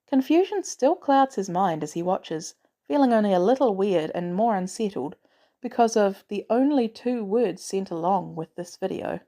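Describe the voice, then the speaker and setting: A woman's voice: mid-range voice, animated, normal speed, mellow. A woman reading a scripted story aloud as a narrator. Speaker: audiobook narrator of fan fiction.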